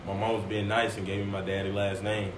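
A man's voice: lowish voice, flat delivery, fairly quick, background noise. Laid-back young man in an echoey room. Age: 20s. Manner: laid-back slangy talk, says "man" a lot. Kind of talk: casual chat.

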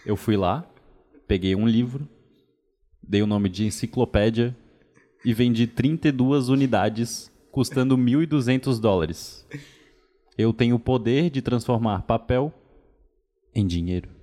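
A man's voice: medium pitch, unhurried, long pauses, mellow, close to an everyday voice. A man playing a pompous 1800s encyclopedia salesman. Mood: boastful. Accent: Brazilian. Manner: boastful, stammering fake-British salesman patter. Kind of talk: improv comedy game.

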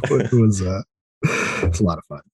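A man talking as a podcast guest in casual interview chat, enthusiastic, informal and rambling, with a slightly husky voice. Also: deep voice, animated, measured pace, mellow, dry recording. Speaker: podcast guest, audio drama creator.

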